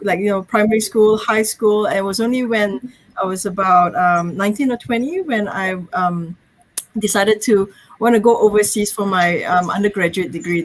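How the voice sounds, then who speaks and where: A woman's voice: mid-range voice, poor audio. A woman in her thirties from Malaysia, a guest on a video-call interview, talking casually with frequent ums and laughs.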